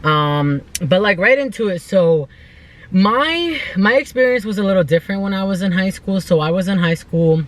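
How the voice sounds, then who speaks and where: A woman's voice: medium pitch, lively intonation, moderate pace, rough recording. A woman in her twenties from the United States talking casually and earnestly in an unscripted vlog, with informal, emphatic phrasing. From United States, born in El Salvador. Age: 20s. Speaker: vlogger.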